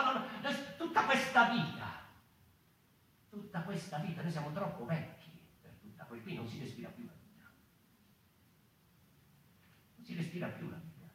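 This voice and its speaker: Echo-drenched, declaiming weary stage monologist. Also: male voice, fairly high pitch, pushed and bright. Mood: weary, agitated. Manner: declamatory, halting, repeats words and phrases. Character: weary, agitated male member of a mouse people. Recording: very echoey hall, distant, faint noise.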